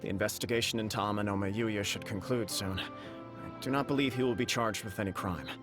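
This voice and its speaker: A man's voice: lowish voice, steady tone, fairly quick, over music, lightly acted. A man voicing a courteous noble official who speaks in a formal, polite way, from a video game.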